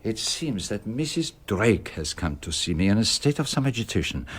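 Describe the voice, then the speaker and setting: A man's voice: on the low side, big pitch swings, normal speed, fairly bright tone, breathy edge, heavily acted. A man acting in a radio drama as a courteous, formal detective who speaks politely and precisely. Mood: grave, courteous. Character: courteous, formal, probing male private detective.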